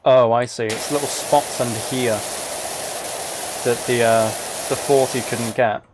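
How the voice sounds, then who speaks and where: A man with a mid-pitched voice talks casually on a livestream as he plays a game. He is soft-spoken and addresses his viewers directly. Clear background noise of game ambience and spraying sits behind him. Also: steady tone, measured pace. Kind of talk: casual livestream chat while playing a game. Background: game ambience and water spray.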